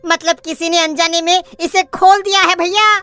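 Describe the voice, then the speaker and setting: A woman's voice: extremely high pitch, fairly even intonation, moderate pace, pushed and bright, soft background music. A woman playing a concerned villager in a cartoon horror story, emphatic and reasoning with others.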